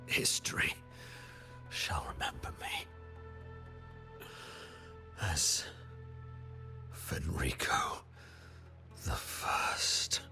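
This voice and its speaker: Casting: fallen zealot priest in a game, extremely low, very slow, grandiose and halting, gravel breaking into whispers. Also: male voice, animated, sharp tone, soft background music, heavily acted. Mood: proud, dying. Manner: grandiose, solemn, halting declamation. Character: fallen zealous male religious leader, grandiose and dying. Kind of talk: dramatic game voice acting.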